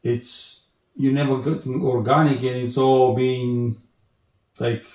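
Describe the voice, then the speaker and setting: A man from Bulgaria with a Bulgarian accent, chatting as an interview guest in a halting way with restarts and many fillers. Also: mid-range voice, little pitch movement, a little mellow, roomy sound. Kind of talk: casual interview chat.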